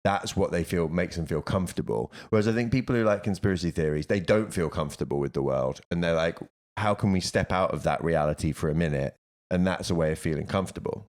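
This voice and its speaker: A young man co-hosting a podcast, talking loudly and telling stories in casual chat with plenty of swearing. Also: deep voice, fast, few pauses. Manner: loud, lively storytelling with swearing. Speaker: podcast co-host.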